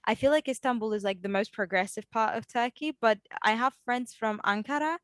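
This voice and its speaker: A woman with a smooth, resonant voice chats on a podcast, recorded dry and close with no room sound. She talks conversationally and asks the others follow-up questions. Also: fairly high pitch, fairly quick, dry recording. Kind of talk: casual podcast discussion.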